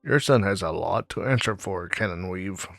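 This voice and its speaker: A man doing a let's play, reading game dialogue aloud somewhat haltingly. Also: sits low, animated, gravelly, a bit bright, soft background music. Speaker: gamer reading the player character's lines.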